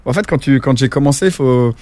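Mellow-voiced man, chatty and rambling. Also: mid-range voice, fairly quick, no echo. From France. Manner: rambling, restarts often, slangy. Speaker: guest, former taxi driver. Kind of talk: casual interview talk.

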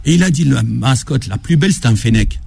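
A man's voice: mid-range voice, expressive, fast talker, creaky, darkish tone, husky. A man speaking as a guest author on a radio talk show, telling anecdotes in an enthusiastic, colloquial way and acting out reported dialogue. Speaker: guest author presenting his book on African football.

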